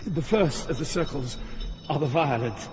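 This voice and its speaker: A man's voice: a little high, animated, normal speed, lightly acted. An actor playing a stern ghostly poet guide through Hell in a dramatic audio drama, grave and declamatory as he instructs. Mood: solemn, lecturing. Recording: long cavernous reverb, music underneath.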